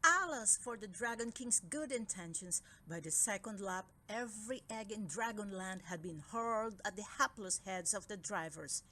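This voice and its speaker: A woman's voice: mid-range voice, moderate pace, few pauses, sharp tone, slight background noise. A woman narrating a children's story as a read-aloud. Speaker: storybook reader.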